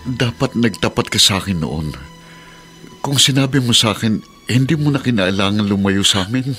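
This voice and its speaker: An actor playing a concerned middle-aged husband and father in a Filipino radio drama, earnest and a little halting. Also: lowish voice, animated, bright, forceful tone, soft background music. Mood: regretful.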